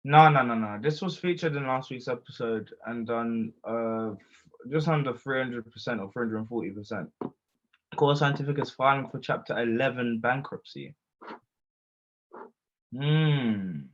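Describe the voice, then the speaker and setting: A man's voice: mid-range voice, unhurried. Slangy man on a muffled call. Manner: animated, interjects with slang. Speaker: co-host. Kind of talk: casual webinar chat. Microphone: video call mic. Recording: lo-fi call audio.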